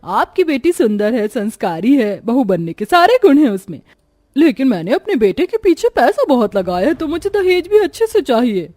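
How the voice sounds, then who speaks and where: A woman's voice: sits high, very animated, moderate pace, darkish tone, no echo, studio quality. A woman voicing a greedy, haughty middle-aged mother of a groom in a story, demanding and scornful.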